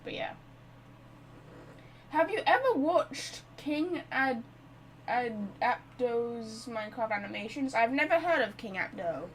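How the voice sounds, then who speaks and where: A woman chatting casually and excitably as she livestreams a game, rambling to her viewers. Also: fairly high pitch, slow, a little hiss or hum. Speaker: live streamer. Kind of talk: casual game stream chat.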